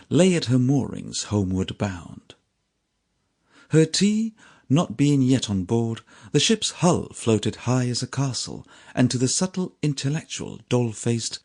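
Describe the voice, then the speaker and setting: Man narrating with a very lively, swooping pitch. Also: breathy edge. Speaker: audiobook narrator. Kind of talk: scripted literary narration of a sea adventure.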